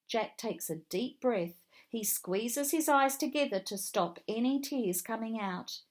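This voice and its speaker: A woman narrating a children's read-along story in a deliberate, expressive way. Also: on the high side.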